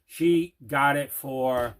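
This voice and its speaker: A man's voice: mid-range voice, extremely slow. A man hosting a collector's video channel, chatting casually through an unboxing with emphatic, repeated phrases. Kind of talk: casual unboxing vlog chat.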